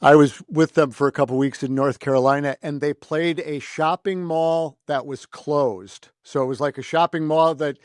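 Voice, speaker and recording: A man's voice: fairly high pitch, steady tone, moderate pace, few pauses, dry recording, studio quality. A middle-aged American man hosting a podcast in a chatty, rambling, informal way. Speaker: podcast host. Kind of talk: casual conversational interviewing.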